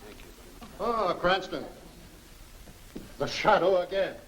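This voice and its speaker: A man acting as a gruff, suspicious police official in a 1940s film serial. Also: high voice, lively intonation, slow, a bit bright, full character voice. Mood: brusque, suspicious. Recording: noisy 1940 film soundtrack.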